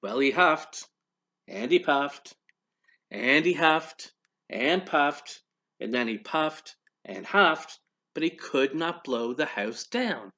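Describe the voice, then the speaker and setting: Guy reading a kids story out loud, slow n really animated, kinda higher voice, quizzes listeners. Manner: pauses to quiz young listeners. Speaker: read-aloud storyteller for children. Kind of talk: expressive storybook narration.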